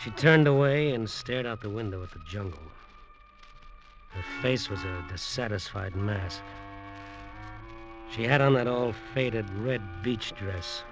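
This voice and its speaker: An actor playing a scheming, embittered hustler in an old radio drama, talking in hard-boiled slang. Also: male voice, fairly low pitch, measured pace, long pauses. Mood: wry, brooding. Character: scheming, embittered hustler narrating his island venture. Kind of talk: 1940s-50s radio drama acting and first-person narration. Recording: old radio broadcast recording, music behind.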